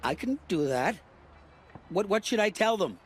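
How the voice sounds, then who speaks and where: An actor playing a cocky but cowardly bandit swindler in a video game, with a theatrical, blustering manner. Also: male voice, sits high, big pitch swings, unhurried, slight background noise. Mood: nervous bargaining. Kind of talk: cinematic game dialogue.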